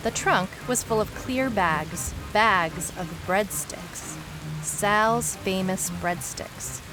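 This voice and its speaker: A woman's voice: mid-range voice, takes it slowly, music in the background, natural voice, poor audio. A woman playing a wry detective who recounts a strange case in a dry, storytelling way, as scripted audio drama narration. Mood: tense.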